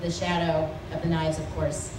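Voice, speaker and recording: A woman actress on a convention panel, telling a story in an animated way and laughing often. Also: sits low, moderate pace. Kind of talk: anecdotal panel talk. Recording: echoey hall, distant mic, clear noise.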